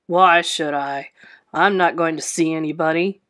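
A woman's voice: low voice, fairly even intonation, unhurried, fairly bright tone, pitched below the performer's own voice. A woman voicing a sour, grumpy old husband in a storybook, with a growling, sneering manner.

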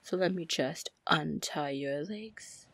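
A woman playing a confident, commanding, flirty female pirate captain in an ASMR fantasy roleplay, breathy and deliberate. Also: no echo, full character voice. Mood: firm, protective. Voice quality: breathy, low, husky. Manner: slow, deliberate, smooth-talking. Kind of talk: ASMR roleplay acting, fantasy audio drama.

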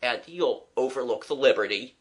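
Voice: mid-range voice, animated, moderate pace, natural voice. A reader voicing a flustered working-class young man, gasping, agitated and apologetic, in a storybook. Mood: anxious, apologetic.

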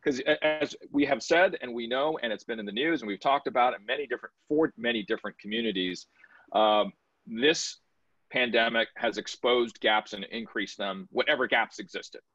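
A middle-aged man moderates a panel over a video call, brisk and pushing each speaker to be quick. His voice is a little husky, and the sound is dry and dull like lo-fi call audio. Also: mid-range voice, fairly bright tone, no echo. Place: home office with bookshelves.

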